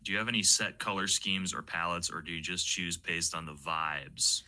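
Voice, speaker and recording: A woman's voice: a bass voice, moderate pace, bright, forceful tone, a little hiss or hum. A young woman hosting a casual interview, speaking informally and hesitantly, repeating words as she goes. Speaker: interviewer, project creator.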